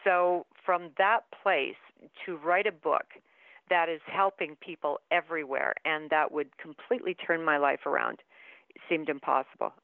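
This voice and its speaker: A woman author speaks earnestly and with emphasis as a guest over the phone. Her voice is smooth and clear at a middle pitch. The line is narrow and tinny, and the sound is dry. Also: moderate pace, dry recording. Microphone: telephone.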